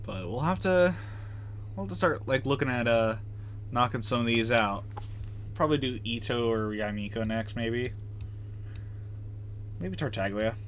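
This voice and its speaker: A man's voice: medium pitch, lively intonation, unhurried, long pauses, slight background noise. A man streaming a video game live, giving casual, rambling commentary and often repeating himself.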